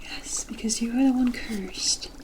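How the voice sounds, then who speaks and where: Kindly forest witch played by a woman for ASMR roleplay: slow, hushed whisper, soothing and formally spoken. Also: fairly high pitch, fairly even intonation, natural voice, rough recording. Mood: gentle, confiding. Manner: gentle, reassuring, formal storybook phrasing. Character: kindly forest witch brewing a protective potion.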